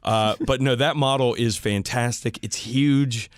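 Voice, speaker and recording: A man's voice: mid-range voice, steady tone, a little slow, no room sound. Male podcast co-host, enthusiastic and sweary casual hobby talk, dry close recording. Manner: enthusiastic, profane. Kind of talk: casual hobby chat about tabletop wargaming.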